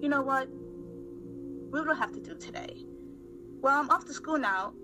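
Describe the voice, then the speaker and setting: High-voiced, wary teenage girl acted by a woman. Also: unhurried. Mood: brisk, dismissive. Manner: sarcastic, suspicious, curt. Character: wary, brusque eighteen-year-old girl late for school. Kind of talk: amateur radio play acting. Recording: music under the voice.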